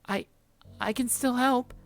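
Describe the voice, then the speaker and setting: A woman's voice: on the high side, fairly even intonation, a little slow, pitched above the performer's own voice. A woman voicing an anxious young woman in a visual novel, urgent and stammering, eager to help. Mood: flustered.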